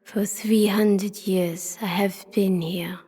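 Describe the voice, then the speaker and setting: Echoing, breathy ancient city spirit. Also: female voice, mid-range voice. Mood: solemn, mysterious. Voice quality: breathy, half-whispered. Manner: slow, flat, otherworldly. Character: ancient spirit of a city, female, solemn and mysterious. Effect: long reverb. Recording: long cavernous reverb.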